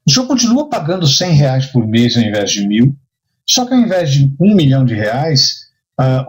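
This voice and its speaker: A Brazilian man teaches in a conversational, didactic way. His voice sits a bit high for a man and swings widely in pitch. He walks through examples with rhetorical questions and often checks that the listener follows. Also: low-quality recording. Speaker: insurance and risk management instructor. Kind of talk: conversational lecture with hypothetical examples.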